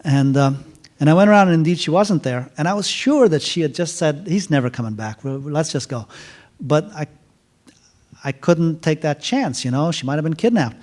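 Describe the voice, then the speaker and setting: A middle-aged man telling a story casually at a university event, halting with many fillers and restarts. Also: on the high side, animated, darkish tone. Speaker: author speaking at a university event.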